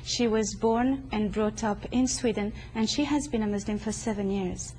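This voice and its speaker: Woman host, mid-pitched, mellow tone, formal and welcoming, faint background noise. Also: moderate pace, poor audio. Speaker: show host, interviewer. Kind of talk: hosted interview. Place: guest's home.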